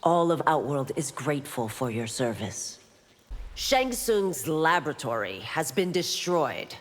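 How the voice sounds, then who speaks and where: An actress playing a stern, loyal police constable in a video game, speaking in a measured, formal and firm way. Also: female voice, low-pitched, sing-song, heavily acted. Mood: formal, respectful. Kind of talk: video game dialogue acting. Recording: long hall-like reverb.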